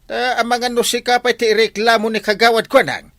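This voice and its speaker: A man's voice: extremely high pitch, little pitch movement, brisk, a bit bright, dry recording, studio quality. A man with an Ilocano Filipino accent playing an exasperated village captain in a comedy drama. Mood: agitated.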